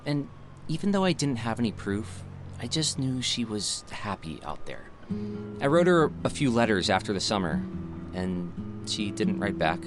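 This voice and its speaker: An actor playing a young man, a hopeful theater director, who talks with his father and narrates in an earnest, reflective way. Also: on the low side. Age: young adult. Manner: earnest, reflective, laughs easily. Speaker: narrator and lead character Sam. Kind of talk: audio drama acting and narration.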